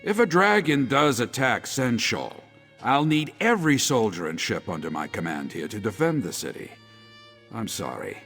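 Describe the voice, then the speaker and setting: A man's voice: mid-range voice, sing-song, normal speed, vocal fry, a bit bright, breathy edge, soft background music, exaggerated voice. A man playing a stern, haughty middle-aged general in a video game, with a sardonic, pompous delivery. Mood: stern, dismissive. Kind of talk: video game character dialogue. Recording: echoey hall reverb.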